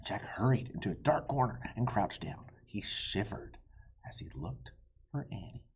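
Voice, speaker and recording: A man reading a children's book aloud as an expressive narrator. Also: fairly low pitch, moderate pace, muffled recording, slight background noise. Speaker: storybook reader.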